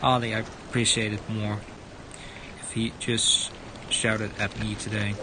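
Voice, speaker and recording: A man's voice: on the low side, flat delivery, unhurried, noisy background. A man livestreaming a visual novel, reading a horror story aloud as dramatic narration and stumbling over words now and then. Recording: loud music over the voice. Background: loud music.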